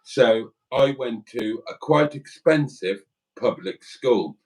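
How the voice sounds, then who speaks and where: A man's voice: mid-range voice, lively intonation, slow, recorded from a distance. A man from Wales co-hosting a live stream, chatting casually and reading viewer comments aloud with rambling asides.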